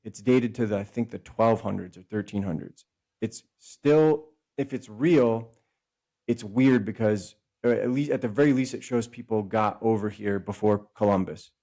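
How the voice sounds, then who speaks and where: A man's voice: lowish voice, mellow. A man talking as a podcast guest and author, explaining at length in a discursive way and often stopping to correct himself. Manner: discursive, self-correcting, speeds up and slows down. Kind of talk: casual explanatory conversation.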